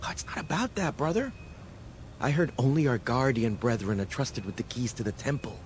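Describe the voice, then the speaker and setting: Guy doing calming devout cult brother for a game, medium pitch, faint noise behind. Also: fairly quick, natural voice. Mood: reassuring, devout. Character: reassuring, devout male cultist brother. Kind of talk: video game dialogue.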